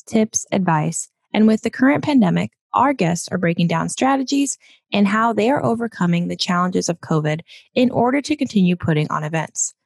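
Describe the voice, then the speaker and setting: A woman's voice: mid-range voice, creaky, a little mellow, no echo. Female podcast host, close dry sound. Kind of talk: scripted intro and interview.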